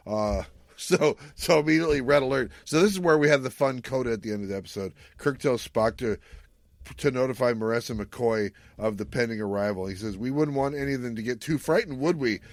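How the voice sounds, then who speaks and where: A man's voice: medium pitch, a little fast, dry recording. A man hosting a podcast in a casual, playful and informal way as he recaps and reviews a story. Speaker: podcast host.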